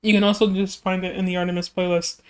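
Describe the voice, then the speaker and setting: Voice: mid-range voice, little pitch movement, fast, clean tone. A streamer reacting to music videos in casual chat, rambling with many fillers, while their voice keeps catching from throat trouble. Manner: rambling and chatty, many fillers and self-interruptions.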